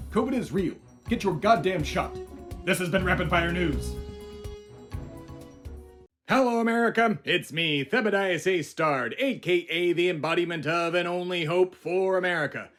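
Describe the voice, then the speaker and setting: A man's voice: sits high, natural voice. A man playing a bombastic, self-important immortal embodiment of America in a comedy podcast monologue. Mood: shouting, emphatic. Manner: pompous, shouting announcer bursts, rambling asides.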